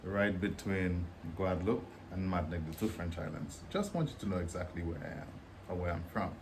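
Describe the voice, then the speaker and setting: A man from Dominica with a Dominican accent, explaining in a relaxed, joking way as co-host of a casual vlog. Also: low-pitched, a little fast, noisy background.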